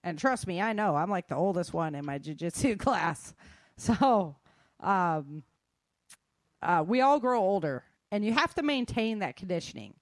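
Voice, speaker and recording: A middle-aged woman speaks informally, as if coaching people she knows. Her voice sits at a medium pitch, and she is candid and colloquial, slipping in joking asides. The sound is dry, with very little room. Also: no echo. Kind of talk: informal talk to an audience.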